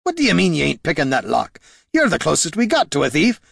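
Guy doing a gruff bossy warrior boss for fantasy audiobook, blunt folksy bark, pitched up and swinging. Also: wildly swooping pitch, fast talker, a bit bright, no room sound, clean studio sound, exaggerated voice. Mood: exasperated. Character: gruff, bossy, impatient male warrior leader.

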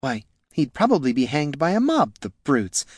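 A man's voice: a little high, huge pitch swings, normal speed, husky, dry recording, clean studio sound, pitched above the performer's own voice, full character voice. A man voicing a haughty, prim and easily scandalised noblewoman in a fantasy audiobook. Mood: prim, defensive. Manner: prim, indignant.

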